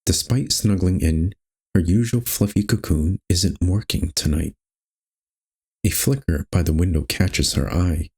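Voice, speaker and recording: A man narrating a visual novel aloud as host, in an expressive, measured storytelling style. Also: deep voice, breathy edge.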